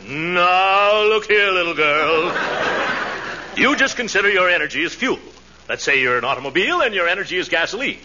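A pompous, middle-aged windbag in a 1940s radio comedy, with a highish voice that swoops dramatically. He blusters and flusters easily, on a noisy old recording. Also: expressive, moderate pace, lo-fi sound. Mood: flustered pomposity.

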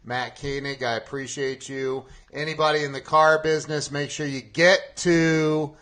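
A man hosting a podcast in a casual, conversational interview style, speaking informally and haltingly with repeated phrases. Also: on the high side, fairly even intonation, fairly bright tone. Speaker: podcast host interviewing a guest.

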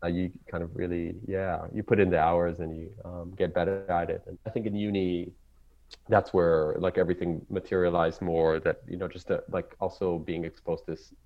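A man from Israel with an Israeli accent, English not his native tongue, talking casually as a guest and often pausing to search for words. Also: deep voice, flat delivery, phone-quality sound. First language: Hebrew. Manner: halting, searching for words, many fillers and restarts. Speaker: ecologist guest. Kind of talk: casual interview talk.

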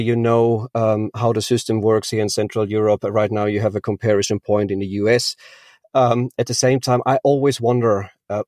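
A man's voice: lowish voice, a little mellow, dry recording, clean studio sound. A man from Austria hosting an interview, asking long questions hesitantly with many fillers and restarts. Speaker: interviewer, host.

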